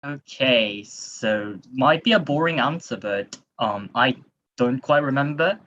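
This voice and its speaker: A young man in his twenties speaks English with a Japanese accent on a video call panel. He answers carefully with many fillers. His tone is bright and forceful, and the line sounds a little muffled. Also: medium pitch, animated, takes it slowly, a little hiss or hum. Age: 20s. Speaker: university student panelist.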